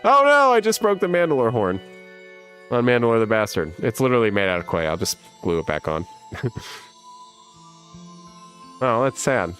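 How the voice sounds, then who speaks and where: A man in his thirties with a rough smoker's voice, casually chatting on a livestream and reading viewer comments, with lots of laughs. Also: mid-range voice, very animated, normal speed, pauses often, fairly bright tone, faint music behind. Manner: laid-back and rambling, laughs often. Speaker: livestream host.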